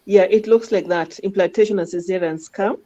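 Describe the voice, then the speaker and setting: A woman's voice: mid-range voice, brisk, warm tone. A woman giving informal teaching commentary on scan images as a radiology teacher, often adding questioning tags like "huh?" to her statements. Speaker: radiology teacher guiding a trainee. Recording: dull lo-fi audio.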